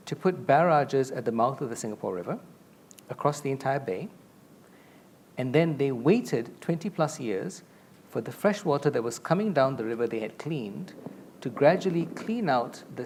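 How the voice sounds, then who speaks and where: A middle-aged man from Malaysia talks through a lapel mic on a conference stage, explaining ideas at length and with conviction. His pitch sits in a plain middle range. A faint low rumble sits under his voice. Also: darkish tone. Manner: expansive, explanatory, gestures a lot while talking. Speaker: panelist. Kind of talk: conference panel discussion. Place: large stage with a curtain backdrop.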